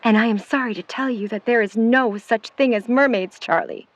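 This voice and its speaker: A woman playing a protective, skeptical middle-aged mother in a 1950s New York audio drama, speaking in formal, measured, wordy sentences. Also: a little high, dry recording, close to an everyday voice. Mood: stern. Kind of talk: vintage-set audio drama acting.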